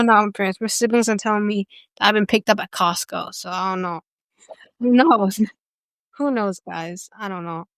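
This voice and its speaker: Sex: woman. Origin: United States. Role: podcast host. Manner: rambling, giggly, full of fillers. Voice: smooth and clear, mid pitch. Room: dry. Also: fairly bright tone, no room sound. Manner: rambling, giggly, heavy use of "like". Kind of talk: casual chat.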